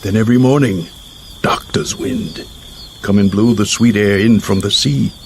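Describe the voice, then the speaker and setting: A man's voice: on the low side, normal speed, darkish tone, close to an everyday voice. An actor playing an ageing, hearty Cayman Islander fisherman and guide in a radio drama, with a Caribbean accent and easygoing, folksy speech. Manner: easygoing, short plain instructions, folksy turns of phrase. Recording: noisy outdoor sound-effect ambience behind voice.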